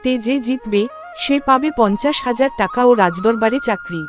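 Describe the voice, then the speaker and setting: A woman narrating a cartoon story in a plain, even storytelling manner. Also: on the high side, normal speed, faint music behind.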